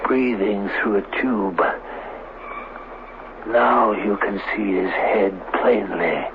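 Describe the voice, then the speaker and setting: Slow, grandiose mad scientist. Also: male voice, fairly low pitch, steady tone, highs cut off. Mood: proud, explaining. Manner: grandiose, deliberate, theatrical. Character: obsessed male scientist, proud of his monstrous creation. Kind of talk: 1970s radio drama acting. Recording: noisy, thin 1970s radio broadcast.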